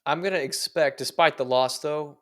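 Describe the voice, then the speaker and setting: A man co-hosting a sports podcast, giving measured, analytical takes with the odd dry joke. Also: on the high side. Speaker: podcast co-host. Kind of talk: casual sports podcast chat.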